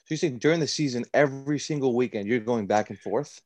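A man's voice: mid-range voice, fast, darkish tone, no room sound. A man hosting a sports podcast and interviewing a guest in a friendly way, with lots of fillers. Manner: friendly, filler-heavy questions. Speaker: podcast host, sports beat writer. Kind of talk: casual interview.

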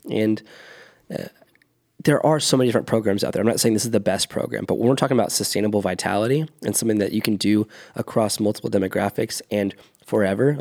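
A man in his thirties with a lowish, gravelly voice, talking through ideas in a casual podcast chat. He explains earnestly and often restarts his sentences as he thinks aloud. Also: darkish tone. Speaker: podcast co-host, fitness coach.

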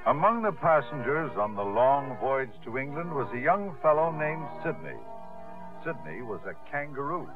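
Male actor as reminiscing narrator, mid-pitched, animated, vintage radio with clear music bed. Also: moderate pace, close to an everyday voice. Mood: storytelling. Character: storytelling former theatre manager, reminiscing warmly. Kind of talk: scripted 1950s radio drama narration. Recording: muffled 1950s radio broadcast over music.

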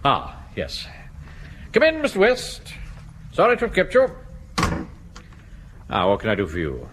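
Pompous middle-aged English bank manager, self-important, animated delivery, old radio sitcom with background noise. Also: male voice, mid-range voice, a little slow. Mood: blustering, deferential. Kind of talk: vintage British radio comedy acting. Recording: noisy old radio broadcast recording.

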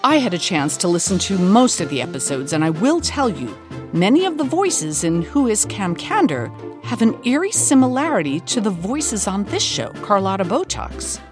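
A woman's voice: medium pitch, big pitch swings, normal speed, music in the background. A woman podcast creator giving a scripted promotional introduction with playful mock-seriousness.

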